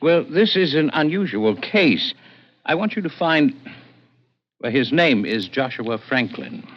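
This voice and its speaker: A man's voice: on the high side, animated, measured pace, fairly bright tone. An actor playing a nervous, earnest attorney in a radio drama, hesitant and formal in his speech. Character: tall, thin, nervous, earnest male attorney client. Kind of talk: 1950s radio drama acting. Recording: muffled vintage radio recording.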